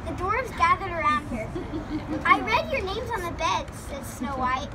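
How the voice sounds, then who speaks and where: High female storybook reader over loud traffic. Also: big pitch swings, moderate pace, over a noisy crowd. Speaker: drama club member. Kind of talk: storybook reading aloud. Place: outdoor restaurant patio. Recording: distant mic, loud outdoor traffic noise.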